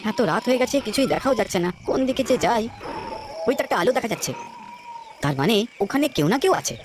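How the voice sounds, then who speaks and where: A woman voicing a brave, loyal young prince, polite and determined, in an animated fairy tale. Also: fairly high pitch, quick pace, noisy background, close to an everyday voice. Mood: pondering.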